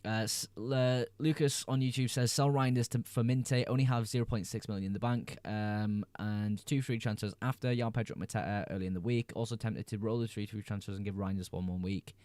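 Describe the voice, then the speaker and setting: Young man, livestream host, reading chat aloud, laid-back, low voice, dry sound. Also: steady tone, fairly quick, few pauses, darkish tone, no room sound. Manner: laid-back, reads chat messages aloud then answers. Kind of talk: casual livestream chat answering viewer comments.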